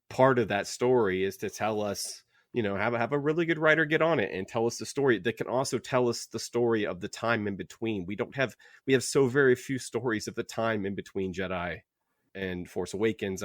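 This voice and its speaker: Low-voiced man chatting on a podcast. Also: no room sound, studio quality. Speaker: podcast co-host. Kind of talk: casual book club podcast chat.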